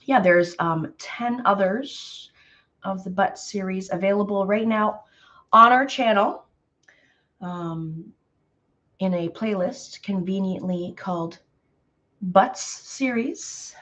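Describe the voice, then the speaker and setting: A slightly hoarse woman hosting a live painting tutorial, chatty and greeting viewers by name with lots of ums. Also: medium pitch, slow pace, fairly bright tone. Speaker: painting instructor.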